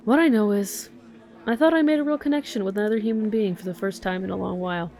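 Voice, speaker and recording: A woman's voice: mid-range voice, fast talker, a little mellow, a little hiss or hum, close to an everyday voice. A woman playing an angry, foul-mouthed police detective in an audio drama, blunt and sarcastic. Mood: hurt, furious.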